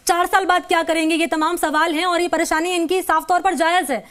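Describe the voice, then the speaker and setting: A woman anchoring the news, summing up in a brisk, assertive manner. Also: very high-pitched, steady tone, a bit bright. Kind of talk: studio news presenting.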